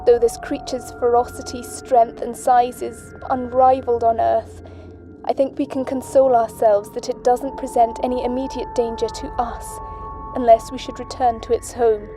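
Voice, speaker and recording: A woman playing a solemn astronaut, the sole survivor of a mission, speaking in a grave, measured way. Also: fairly high pitch, mellow, close to an everyday voice. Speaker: astronaut Butler. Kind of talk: audio drama acting. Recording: music bed under voice.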